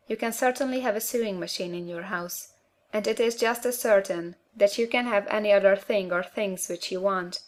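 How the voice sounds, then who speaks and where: Woman reading an audiobook, measured and earnest. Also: medium pitch. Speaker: audiobook narrator. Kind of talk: scripted audiobook narration of a self-help book.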